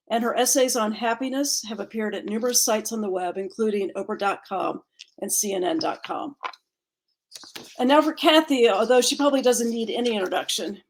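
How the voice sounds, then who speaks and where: A woman's voice: a little high, low-quality recording. A woman presenting at a ceremony, giving a prepared introduction of guest speakers. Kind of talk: prepared introduction, partly read aloud.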